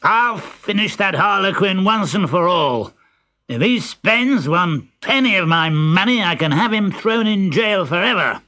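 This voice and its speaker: A man's voice: high-pitched, lively intonation, unhurried, pushed and bright, voice pushed up, exaggerated voice. A man voicing a miserly old father from a children's storybook, blustering, bossy and spluttering with indignation. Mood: outraged.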